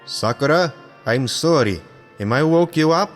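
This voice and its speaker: Man voicing a calm, direct, considerate woman. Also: on the high side, measured pace, long reverb tail, soft background music, voice pushed up. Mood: tender. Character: calm, considerate Russian woman, a gentle lover.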